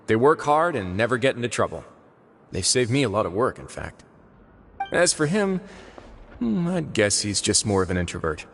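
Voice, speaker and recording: An actor voicing a composed adult prison warden in a video game, speaking in a calm, measured, thoughtful way. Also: male voice, lowish voice, slight background noise. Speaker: game character. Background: faint game music.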